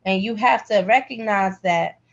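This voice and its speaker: A woman's voice: medium pitch, normal speed, creaky. A woman teaching a live spiritual healing class in a direct, emphatic, colloquial way. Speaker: class instructor, pendulum energy healer. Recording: slightly dull, lo-fi call audio.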